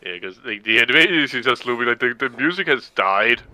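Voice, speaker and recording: A man in casual chat over a video game, explaining things in a halting way and repeating words as he goes. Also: medium pitch, animated, noisy background. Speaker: gameplay co-commentator explaining folklore.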